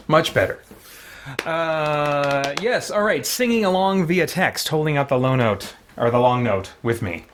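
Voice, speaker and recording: A man hosting a livestream in a casual, rambling, thoughtful way, often pausing mid-sentence. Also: a little high, animated, a little hiss or hum. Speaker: livestream host.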